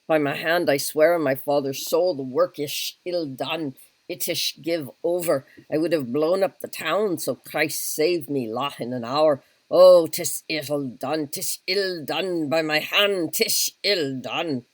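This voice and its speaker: A man's voice: fairly high pitch, slow, no echo. A man voicing a hot-tempered, boastful Irish army captain who blusters and swears oaths in a solo reading of a stage play. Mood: agitated.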